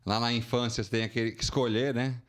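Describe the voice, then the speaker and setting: A man in his forties with a São Paulo accent, a podcast guest telling his career story in a colloquial, animated way. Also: quick pace. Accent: Brazilian Portuguese, São Paulo. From São Paulo, Brazil. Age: 40s. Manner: animated, colloquial, rising pitch when indignant. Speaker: guest, financial consulting entrepreneur. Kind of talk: casual interview storytelling.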